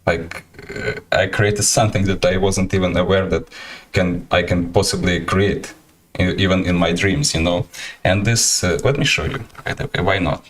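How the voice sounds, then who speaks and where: Ukrainian-accented male host, very low voice, halting casual livestream chat. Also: steady tone. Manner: halting, many fillers and restarts.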